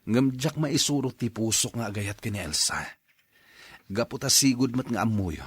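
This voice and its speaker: A man's voice: mid-range voice, expressive, moderate pace, fairly bright tone, husky, dry recording. A man playing an unhappy young husband and father in an Ilocano radio drama, earnest and sorrowful. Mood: firm, weary.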